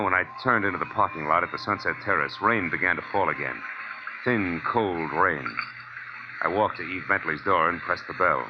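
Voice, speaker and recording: An actor playing a tough, wry private detective in a 1940s American radio crime drama, with a clipped delivery. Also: male voice, low-pitched. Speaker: lead actor and first-person narrator. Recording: muffled, hissy old radio recording.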